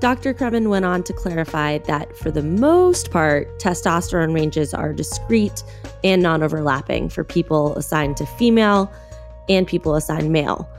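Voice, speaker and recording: A woman's voice: low voice, animated, faint music behind. A woman narrating a video news report in a scripted, earnest, explanatory way. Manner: earnest, measured explanatory delivery.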